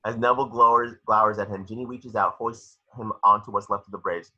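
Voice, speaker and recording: A man's voice: fairly low pitch, fairly even intonation, brisk, highs cut off. A man reading stage directions aloud as narrator in a dramatic screenplay read-through.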